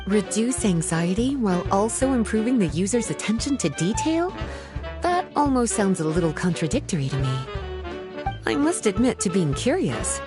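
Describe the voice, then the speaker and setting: A woman's voice: mid-range voice, very animated, normal speed, music in the background, natural voice. A woman voicing an elegant, doting, playfully sly lady in a video game, with a flirty, coaxing manner. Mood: curious, sly.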